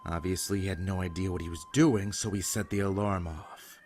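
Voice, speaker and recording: An actor playing a short, sardonic, irritable drug addict in a zombie apocalypse audio drama, blunt and deadpan. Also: low-pitched, a little fast, a little hiss or hum, lightly acted. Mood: dry annoyance.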